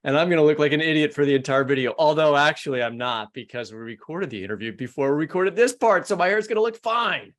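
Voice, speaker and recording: A man's voice: a little high, fast, hardly any pauses, fairly bright tone. An American man co-hosting a podcast, moving between scripted introductions and informal, joking conversation. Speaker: podcast co-host.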